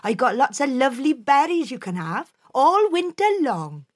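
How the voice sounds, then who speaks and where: A woman plays a small, generous juniper tree. It offers help eagerly and brightly. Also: high voice, very animated, moderate pace, voice pushed up.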